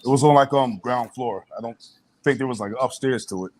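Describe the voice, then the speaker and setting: A man in his thirties from Boston, a podcast guest with a relaxed, laid-back way of talking who laughs easily. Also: mid-range voice, brisk. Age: 30s. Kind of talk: casual chat.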